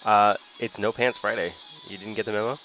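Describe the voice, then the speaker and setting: A young man playing a game with a friend, firing off dry, deadpan comebacks in casual joking chat. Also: fairly low pitch, a little fast, muffled recording, faint music behind. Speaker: co-player. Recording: noisy home stream.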